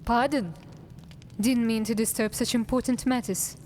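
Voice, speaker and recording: A woman's voice: on the high side, fairly even intonation, moderate pace, a little mellow, a little hiss or hum, natural voice. A woman voicing a guarded, pragmatic drow assassin in fantasy game dialogue, terse and reserved.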